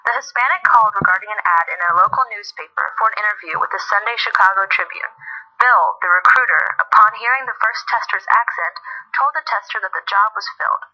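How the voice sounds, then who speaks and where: A woman's voice: mid-range voice, brisk, sharp tone. A young woman reading a student project script aloud in an earnest way. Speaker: student presenter. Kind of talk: read-aloud class project narration. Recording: dull lo-fi recording.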